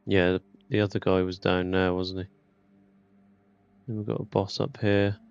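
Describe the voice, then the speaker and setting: A man's voice: sits low, little pitch movement, normal speed, creaky, clear, resonant tone, muffled recording, soft background music. A man giving casual, colloquial gameplay commentary, thinking aloud as he goes. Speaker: gaming YouTuber.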